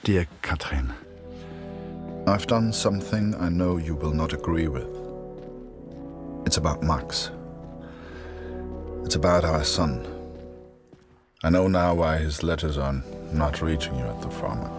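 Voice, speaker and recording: A man's voice: a bass voice, measured pace, pauses often, music in the background. A man acting a grave father narrating a confiding letter home in a video game. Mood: solemn. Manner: solemn, confiding. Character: grave German father writing home to his wife.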